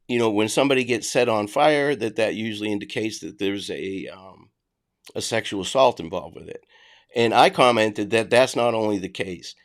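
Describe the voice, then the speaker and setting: A middle-aged man with a Boston accent talks through a casual livestream, answering viewers' chat. His voice sits on the low side, and he speaks bluntly and conversationally, often restarting his sentences. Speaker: livestream host reading chat.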